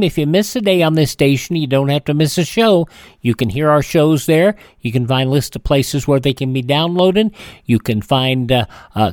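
A man's voice: on the high side, dry recording. A man with an American accent hosting a radio show, giving announcements on a close studio mic.

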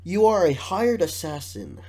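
A man's voice: high-pitched, very animated, a little slow, darkish tone, breathy edge. A man with a nasal, congested voice, hosting a casual podcast and reading questions aloud between jokes and laughs. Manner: jokey, laughs often, reads questions aloud. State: congested, sick. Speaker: podcast host.

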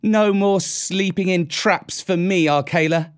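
A man's voice: high voice, unhurried, clean tone, voice pushed up. A man voicing a proud, scornful young boy raised by wolves, in archaic, declamatory storybook speech. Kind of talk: storybook character voice.

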